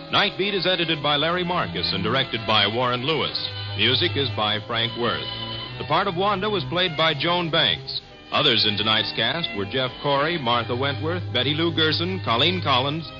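A man's voice: mid-range voice, expressive, normal speed. A man announcing in formal, punchy 1950s radio style, reading credits and promotions. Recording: dull vintage radio broadcast, music behind.

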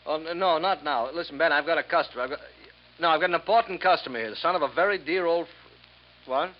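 An actor playing a crooked, cornered merchant in 1950s radio drama style, stammering and repeating himself. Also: male voice, a little high, fast, natural voice. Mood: smooth, then flustered. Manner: stammering, pleading, repetitive. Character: crooked, cornered merchant, wheedling then explosively bitter. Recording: muffled, noisy 1950s radio recording.

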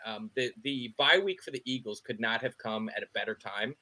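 Excitable laughing man, bright voice. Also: medium pitch, brisk, poor audio. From Philadelphia area. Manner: excitable, laughs often, repeats words. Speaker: podcast co-host. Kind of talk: casual sports chat.

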